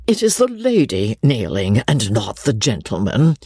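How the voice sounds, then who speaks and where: A woman acts out a rich old lady from a storybook. She is haughty and scornful, with highly animated, imperious delivery. The tone is indignant and a little husky. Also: measured pace, dry recording, clean studio sound, exaggerated voice.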